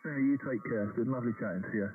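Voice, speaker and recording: A man chatting on a ham radio contact in a relaxed, friendly way. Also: medium pitch, very fast, a little hiss or hum. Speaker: ham radio operator calling in. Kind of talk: casual radio contact chat. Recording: tinny radio audio.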